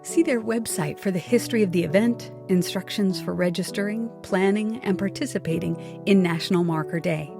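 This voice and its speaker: A woman's voice: mid-range voice, fairly quick, creaky, hardly any pauses. A woman hosting a podcast, reading announcements in a friendly, upbeat way and asking interview questions. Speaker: podcast host. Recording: music playing behind.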